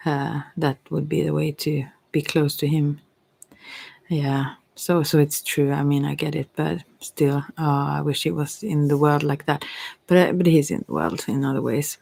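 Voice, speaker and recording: Casting: middle-aged Swedish woman, soft-spoken ASMR ramble, gravelly fry, very dry close recording. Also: deep voice, moderate pace, dry recording. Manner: rambling, trailing off mid-thought. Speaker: ASMR creator and tarot reader. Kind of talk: unscripted reflective ASMR monologue.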